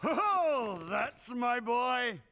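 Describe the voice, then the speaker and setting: A man's voice: very high voice, sing-song, drawn-out words, bright, forceful tone, full character voice. An actor playing a boisterous, proud middle-aged father in a cartoon.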